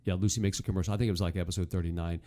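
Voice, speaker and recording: A man's voice: low-pitched, fairly even intonation, racing, warm tone. A man co-hosting a show, giving casual, conversational commentary. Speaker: podcast co-host.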